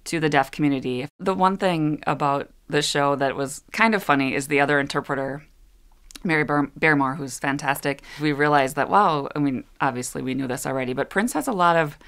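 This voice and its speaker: A woman's voice: deep voice, fairly quick, fairly bright tone, clean tone. A middle-aged woman, a sign language interpreter, talking earnestly in an interview, often restarting her sentences and laughing easily. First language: English. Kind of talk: interview talk.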